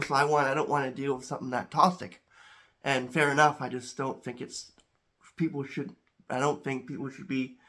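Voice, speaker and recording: Congested man, halting and bright. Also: medium pitch. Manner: halting, many restarts and repeated words. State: a little congested. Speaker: home chemistry channel host. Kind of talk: casual talk to camera.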